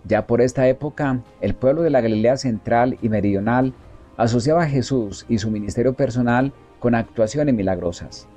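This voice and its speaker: Male reader, mellow dark tone, measured and solemn delivery, faint music underneath. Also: mid-range voice. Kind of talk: measured scripted book reading.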